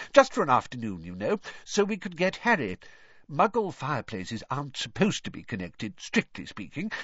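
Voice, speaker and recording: A man's voice: a little high, fairly bright tone, husky, dry recording, dull audio, voice pushed up, full character voice. A man voicing a flustered, apologetic middle-aged wizard father in an audiobook, eager and rambling.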